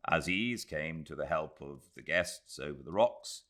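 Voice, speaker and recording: Deep-voiced, slow British male narrator. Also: animated, bright, forceful tone. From Britain. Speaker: audiobook narrator. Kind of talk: literary scripted narration.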